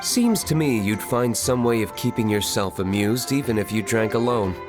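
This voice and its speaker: An actor playing a terse, brooding young tavern owner. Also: male voice, lowish voice, expressive, moderate pace, natural voice. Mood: cold. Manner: terse and cold. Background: game music.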